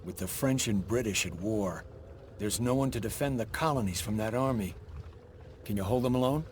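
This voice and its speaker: A man's voice: fairly low pitch, normal speed, a little hiss or hum, close to an everyday voice. Man playing a resolute frontier fighter, faint ambience. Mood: grimly determined. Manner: determined, earnest. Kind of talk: video game cutscene acting.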